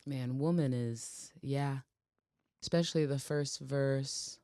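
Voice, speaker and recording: A woman from Portland, Oregon, speaks in a low, commanding voice. She tells her story expressively, acting out others' words and laughing easily. The sound is close and dry. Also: takes it slowly, dark tone, no room sound. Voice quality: deep, commanding voice. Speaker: singer, interview guest. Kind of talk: casual interview chat.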